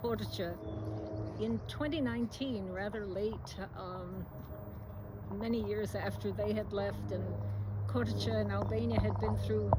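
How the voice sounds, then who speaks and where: An elderly woman of about ninety, from New York City, talks in a slightly high voice. She answers interview questions thoughtfully, pausing often with fillers. Steady noise hums behind her. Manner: measured and reflective, with many fillers. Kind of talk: recorded interview. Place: at home.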